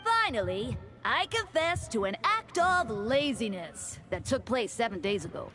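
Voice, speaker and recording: A woman voices a devout young man, a game deacon with a playful streak. The voice is fairly high and animated, with formal, courteous phrasing over faint music. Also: unhurried, full character voice. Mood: mildly sheepish. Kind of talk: scripted video game dialogue.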